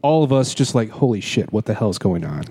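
Rambling man with a mellow voice. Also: fairly low pitch, big pitch swings, brisk, slight background noise. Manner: rambling, many fillers and restarts. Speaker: podcast host. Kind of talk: casual podcast chat.

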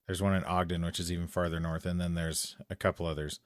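A man in casual chat, telling a long story that gets louder and more animated as it goes. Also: sits low, quick pace, dry recording, studio quality. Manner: animated storytelling, rising loud when excited.

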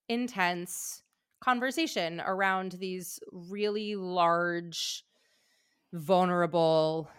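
A woman's voice: on the low side, fairly bright tone, smooth, dry recording, clean studio sound. A woman hosting a conversational podcast interview, effusive and emphatic in the way she talks. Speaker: podcast host.

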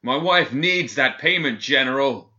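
A man voicing a desperate, betrayed male captain locked in a cell, shouting and pleading with rage. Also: on the low side, fairly even intonation, normal speed, muffled recording, natural voice. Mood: furious shouting.

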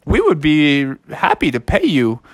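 A man voicing a genteel bear museum expert in a children's story, with a pompous, measured way of talking. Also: on the high side, huge pitch swings, no room sound, full character voice, poor audio. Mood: approving.

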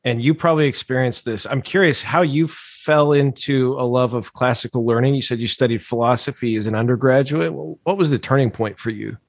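A man's voice: medium pitch, a little fast, hardly any pauses, no echo, studio quality. A man hosting an interview, asking earnest, inquisitive questions. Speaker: interview host.

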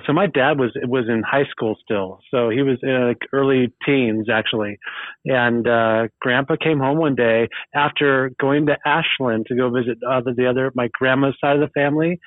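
A man's voice: mid-range voice, no room sound. Laid-back man on a tinny line. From Eugene, Oregon, USA. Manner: easygoing, laughs often, rambling storyteller. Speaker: guest. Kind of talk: casual podcast chat. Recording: tinny phone-like remote line.

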